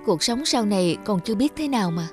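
A woman playing a gentle, sorrowful young woman in a cartoon drama, speaking earnestly and plaintively. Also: mid-range voice, expressive, fast talker, soft background music, close to an everyday voice. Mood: worried, resigned. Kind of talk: cartoon drama acting with reflective narration.

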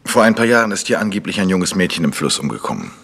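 Ein Schauspieler, der in einem Krimihörspiel einen selbstsicheren, bohrend fragenden Amateurdetektiv spielt. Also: male voice, low voice, sing-song, quick pace, gravelly, fairly bright tone, big-room sound, full character voice. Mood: confident. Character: probing, self-assured male amateur detective. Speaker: amateur detective. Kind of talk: vintage German radio crime drama acting.

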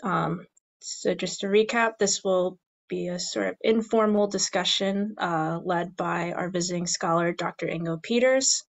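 A young woman moderating a webinar on a video call, speaking in a brisk, organized way. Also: lowish voice, creaky. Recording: dull video-call audio.

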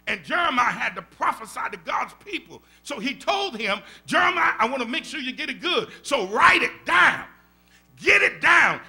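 An older man with a Southern US accent, a pastor teaching Bible study in a church sanctuary, preaching into a stand mic with call-and-response and rising shouts. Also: very high voice, gravelly. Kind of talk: animated preaching. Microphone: stand mic at the pulpit.

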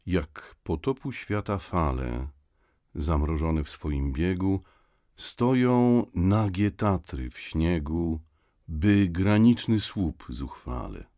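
An actor playing a reflective nineteenth-century poet-geographer, reciting literary prose for an audio guide in a solemn, measured manner. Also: male voice, sits low, animated, mellow, no echo, clean studio sound, heavily acted. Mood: solemn, lofty. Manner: solemn, measured, old-fashioned phrasing.

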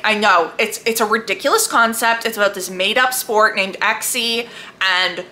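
A woman giving a casual, chatty book review to viewers in an animated, gushing, emphatic way. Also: mid-range voice. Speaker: book vlogger.

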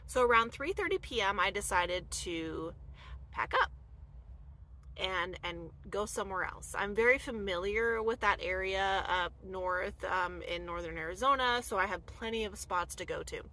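American woman, thirties, bright tone, chatty storytime, lots of fillers, inside a van at night. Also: mid-range voice, normal speed. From United States. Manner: chatty, animated, many "like" fillers. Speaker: vlogger. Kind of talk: casual storytime.

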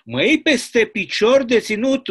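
Man acting out communist prison governor, bullying, indignant, menacing, raised pitch, emphatic interrogation, stage play. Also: sing-song, a bit bright, husky, full character voice.

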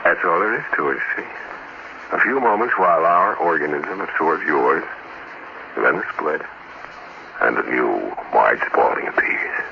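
A man in a 1950s radio play, playing a husband turned alien, soothing and menacing in a quiet, eerily calm way. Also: extremely low pitch, unhurried, creaky, heavily acted. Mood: eerie calm. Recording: noisy, muffled 1950s radio recording.

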